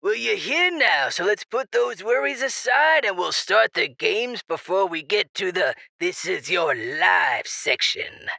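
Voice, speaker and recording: A playful radio drama co-host who loves to tease. His voice is gravelly yet sharp, and he draws his lines out slowly. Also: high-pitched, animated, dry recording, studio quality, heavily acted. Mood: teasing, playful. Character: jovial male radio co-host, playful and teasing. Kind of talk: radio show banter, acted.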